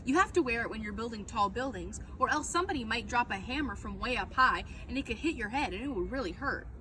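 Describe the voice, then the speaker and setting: A woman reads a young storybook schoolboy, earnest and chatty. He explains in a matter-of-fact way with a lively, fairly high voice. Clear noise sits behind it. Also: fast. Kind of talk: children's storybook character voice.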